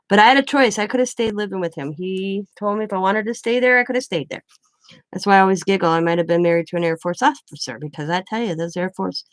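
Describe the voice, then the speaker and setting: A woman's voice: fairly low pitch, no room sound. A woman from the Midwest, chatting casually and rambling while she crafts, laughing often. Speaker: craft vlogger.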